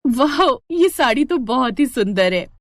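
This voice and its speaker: A woman's voice: high voice, big pitch swings, measured pace, no echo, studio quality, pitched above the performer's own voice, heavily acted. A woman voicing a sweet, obliging young wife in a family story, polite in manner. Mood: pleased.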